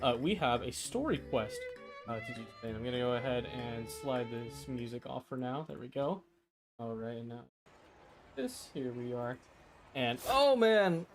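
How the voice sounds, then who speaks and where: A man chats as the host of a game livestream. His voice is medium in pitch, and he rambles casually with plenty of slang. Game music is clearly audible behind him. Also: animated, moderate pace. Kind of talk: casual live game stream commentary.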